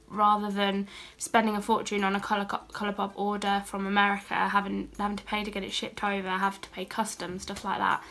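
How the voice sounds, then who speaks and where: A young British woman with a cold and a slightly croaky voice, chatting casually and self-deprecatingly as she shows a shopping haul on her channel. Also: medium pitch, fairly bright tone. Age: young adult. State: has a cold, a bit croaky. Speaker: beauty and haul vlogger. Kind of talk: casual haul vlog chat.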